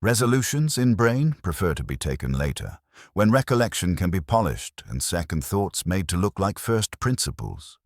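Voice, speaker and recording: A man narrates a literary mystery with dry, understated wit. His voice is extremely deep and slightly raspy, with a lively melodic range, recorded close and dry. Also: normal speed, no echo. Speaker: narrator and reader of a mystery story. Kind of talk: scripted literary narration with dry, wry humour.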